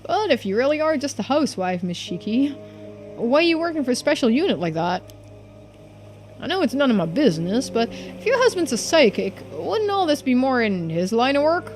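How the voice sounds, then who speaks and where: A woman's voice: sits high, big pitch swings, a little fast, darkish tone, soft background music, pitched above the performer's own voice. A woman voicing a casual, sceptical police investigator in a video game, informal and a little hesitant. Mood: curious, wry. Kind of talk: video game dialogue read-through.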